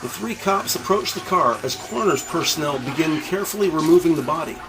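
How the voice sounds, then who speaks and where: A man's voice: on the high side, animated, brisk, over music. A man narrating an audio crime drama from a script, describing the action in the present tense.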